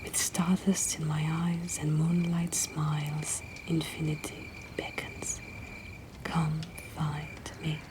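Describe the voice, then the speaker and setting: Whispering woman reading poetry slowly. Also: deep voice, completely flat delivery, noisy background. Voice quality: breathy, whispered. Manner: hushed, measured, deliberate. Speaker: poetry reader and host.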